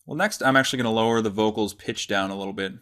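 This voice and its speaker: A man presenting a casual software tutorial, explaining step by step in an informal way. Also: on the low side, very fast, clean tone.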